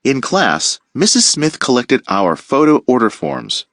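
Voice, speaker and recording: A man teacher reading a children's picture book aloud with playful, expressive storytelling. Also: medium pitch, unhurried, creaky, dry recording, clean studio sound.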